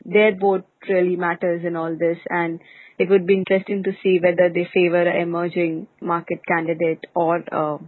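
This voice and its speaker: A woman's voice: on the low side, level tone, moderate pace, no echo. A woman co-hosting a podcast, giving conversational commentary on business news. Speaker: podcast co-host. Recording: narrow, phone-like lo-fi sound.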